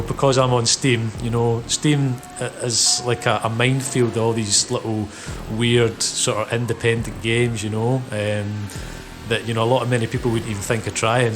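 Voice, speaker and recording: A man's voice: on the low side, background noise. A Scottish man in his thirties hosting his gaming channel, talking loosely and easily with plenty of fillers as he plays. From Scotland. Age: 30s. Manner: rambling, lots of "you know" and "um" fillers; laid-back, easygoing. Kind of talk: casual gameplay commentary.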